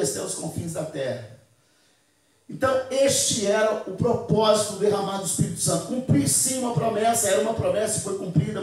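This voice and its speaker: A high-voiced man preaches into a handheld mic in a bare church hall. The sound rings with heavy echo and comes from some distance off the microphone. He leads call-and-response lines with the congregation. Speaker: pastor. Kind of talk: Pentecost sermon.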